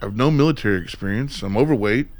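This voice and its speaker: A man's voice: fairly low pitch, fast, darkish tone, lightly acted. An actor playing a skeptical, reluctant civilian man in a radio play, talking in a casual, wry, questioning way. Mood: protesting, sarcastic.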